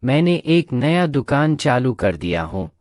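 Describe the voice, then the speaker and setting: A man's voice: medium pitch, very animated, measured pace, darkish tone, dry recording, studio quality. A man voicing a self-made young man in a cartoon moral story, speaking in a plain, matter-of-fact way.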